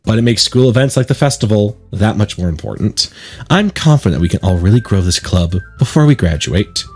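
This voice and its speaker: A man's voice: fairly low pitch, animated, a little fast, slightly rough, faint music behind. A man voicing a confident, earnest schoolgirl club president from a visual novel, speaking encouragingly. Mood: upbeat. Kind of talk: reading visual novel dialogue.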